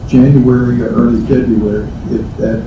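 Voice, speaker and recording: A man's voice: mid-range voice, little pitch movement, moderate pace, highs cut off. An American man giving a formal procedural report to a committee as a staff member. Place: indoor meeting room, picked up from afar. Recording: echoey meeting room, distant, noisy.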